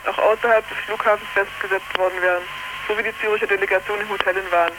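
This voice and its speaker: A woman's voice: medium pitch, steady tone, fast. Woman on a noisy, poor phone line. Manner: stumbles over words while reading. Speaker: activist delegation member. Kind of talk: reading out a prepared statement. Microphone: telephone.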